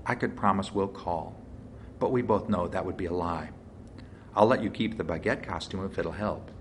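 A man's voice: low voice, moderate pace, slight background noise, lightly acted. A man voicing a flippant young actress with a breezy, teasing manner, as a character in an audiobook.

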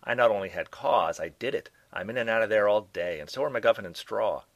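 A man voicing a sardonic, chain-smoking woman scientist in her forties, drawling and scornful with a raspy edge. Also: on the low side, expressive, fairly quick, no echo, muffled recording.

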